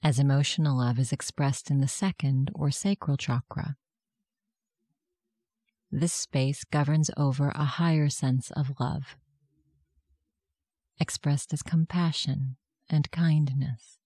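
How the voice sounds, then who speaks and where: Meditation guide, medium pitch, warm relaxed timbre, gentle measured delivery, scripted narration. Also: dry recording, clean studio sound.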